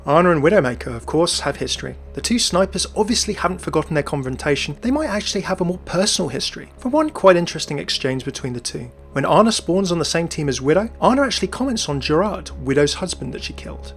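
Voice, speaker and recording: A man's voice: fairly high pitch, very animated, quick pace, hardly any pauses. A man hosting and narrating a scripted game lore commentary. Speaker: video host and narrator. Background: music.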